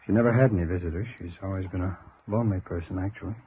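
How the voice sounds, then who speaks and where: Worried polite nephew in old radio drama, lowish voice, tinny noisy recording. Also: male voice, rapid-fire. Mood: uneasy, earnest. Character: dejected, polite nephew of a dying woman. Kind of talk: 1950s radio drama acting.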